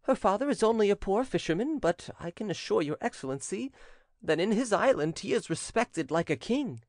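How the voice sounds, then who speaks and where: Man doing high-pitched, fearful manservant. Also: lively intonation, moderate pace, no echo, clean studio sound. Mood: pleading, anxious. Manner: stammering, obsequious, pleading. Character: timid, fearful servant pleading with his master. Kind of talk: audiobook character acting.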